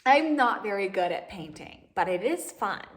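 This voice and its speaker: A woman's voice: medium pitch, big pitch swings, moderate pace, slight background noise. A woman reading a story aloud to young children, expressively and with asides and questions to the listeners.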